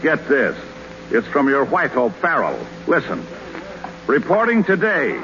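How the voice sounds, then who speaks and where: Gruff, wisecracking male ball-club manager, 1930s radio, high voice, muffled sound. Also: huge pitch swings, a little slow, fairly bright tone, slight background noise, exaggerated voice. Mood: sardonic. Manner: wisecracking, skeptical, slangy. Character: gruff, sarcastic male baseball team manager.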